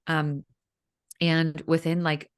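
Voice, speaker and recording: A woman's voice: sits low, little pitch movement, slow pace. A woman in her thirties whose first language is Vietnamese, a guest in a casual interview, talking chattily with many fillers. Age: 30s. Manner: chatty, frequent fillers like um and you know. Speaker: guest, executive coach.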